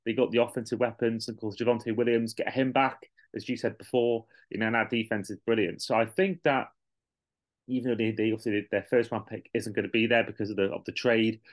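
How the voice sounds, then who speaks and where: A man's voice: fairly low pitch, steady tone, brisk. A man hosting a podcast, talking through sport in a casual discussion style. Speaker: podcast host.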